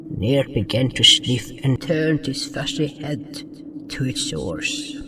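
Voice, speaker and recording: A man's voice: mid-range voice, takes it slowly, background noise. A man narrating a scripted first-person fantasy tale as a solemn storyteller. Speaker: narrator and writer. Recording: distant voice, music underneath.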